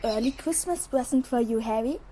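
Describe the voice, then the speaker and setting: Woman acting a cheeky, mellow-voiced teenage prankster boy. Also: fairly high pitch, brisk, slight background noise, natural voice. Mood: playful, conspiratorial. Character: mischievous, cheeky teenage twin schoolboys. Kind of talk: audio drama acting.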